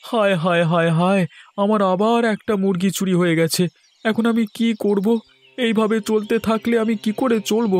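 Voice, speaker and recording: A man's voice: sits high, little pitch movement, moderate pace, darkish tone, lightly acted. A man voicing an anxious, plaintive village husband in a cartoon story. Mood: wailing complaint. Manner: plaintive, imploring. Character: anxious, pleading village husband, childless chicken farmer.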